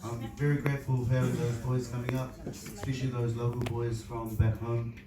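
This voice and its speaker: A man with a sore throat giving a rambling, relaxed thank-you speech as team organiser. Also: mid-range voice, brisk. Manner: rambling and relaxed with many fillers. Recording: distant echoey noisy room recording.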